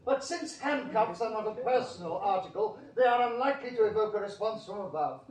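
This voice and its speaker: A man's voice: high voice, lively intonation, a little fast, a little mellow. An actor playing a pompous Victorian showman professor who introduces a medium with grand theatrical announcing, in a radio drama. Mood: stern. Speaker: master of ceremonies at a seance. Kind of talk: 1980s radio drama acting. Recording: echoey stage sound from a distance.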